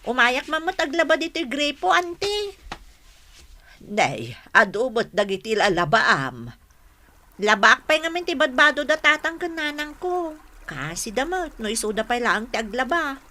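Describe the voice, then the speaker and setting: An actress playing a brisk, chiding young schoolmate in an Ilocano radio drama. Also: female voice, high voice, sing-song, sharp tone, a little hiss or hum, full character voice. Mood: helpful.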